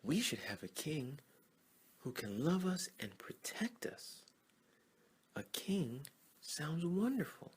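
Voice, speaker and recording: A man voicing excitable, emphatic frogs in a storybook tale. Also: a little high, slow, long pauses, husky, pitched above the performer's own voice, full character voice. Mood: insistent. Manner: exclamatory, emphatic. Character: excitable frogs of a pond, pleading and shouting. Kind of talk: storybook character voices.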